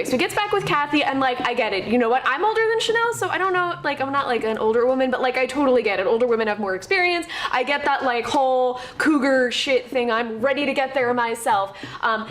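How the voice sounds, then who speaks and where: A woman's voice: high-pitched, big pitch swings, fast, few pauses, a bit bright. A woman co-hosting a horror show, chatting casually and informally with opinions, peppering her talk with "like". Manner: gushy, informal, frequent 'like'. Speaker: co-host of a horror news show. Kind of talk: casual chat, giving opinions. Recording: roomy echo, faint background noise.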